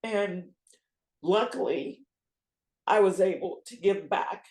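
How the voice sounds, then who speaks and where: A middle-aged woman on a video call from home, speaking haltingly and searching for words. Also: mid-range voice, a little mellow. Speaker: patient sharing her story. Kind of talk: emotional interview answers. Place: home room. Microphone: video call webcam. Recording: roomy webcam sound.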